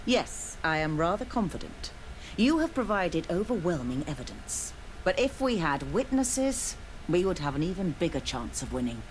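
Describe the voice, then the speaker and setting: Low, confident formal official. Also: female voice, very animated, normal speed, a little hiss or hum, low-quality recording. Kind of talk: video game dialogue acting.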